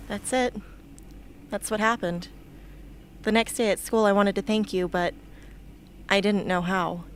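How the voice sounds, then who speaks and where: A faint noise sits around the voice of a young female rebel fighter played by a woman. She is guarded and blunt, with a sardonic edge, in a mid-pitched radio drama performance. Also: fairly even intonation, measured pace, natural voice. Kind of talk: amateur radio play acting. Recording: noisy amateur recording.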